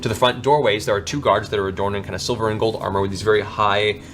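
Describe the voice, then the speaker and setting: A man's voice: on the low side, fairly even intonation, quick pace, a bit bright. A man narrating a tabletop fantasy game as game master, improvising descriptive scenes. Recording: faint background noise and ambience.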